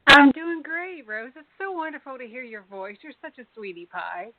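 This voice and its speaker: A woman's voice: high-pitched, sing-song, moderate pace, no room sound. A woman co-hosting a casual talk show, chatty and laughing often. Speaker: co-host. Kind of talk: casual chat. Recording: phone-like call audio.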